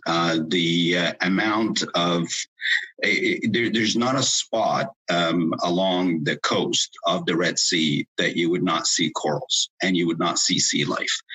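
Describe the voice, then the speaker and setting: A very low, husky man's voice with a forceful edge. He is in his fifties and comes from Egypt. He speaks as an interview guest, hesitating often and restarting his sentences. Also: low-quality recording. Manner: hesitant, many fillers and restarts. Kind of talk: casual podcast interview.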